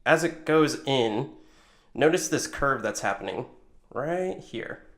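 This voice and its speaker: A man's voice: mid-range voice, animated, takes it slowly, fairly bright tone. A man giving an informal hands-on demonstration, talking through each movement step by step. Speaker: tutorial presenter. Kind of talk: casual hands-on instructional demonstration.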